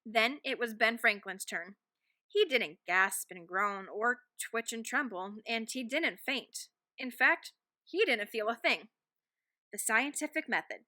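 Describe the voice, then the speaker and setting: Bright, high-voiced woman reading a storybook. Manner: dramatic, deliberate emphasis on key phrases. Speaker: read-aloud narrator. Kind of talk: expressive children's picture book read-aloud.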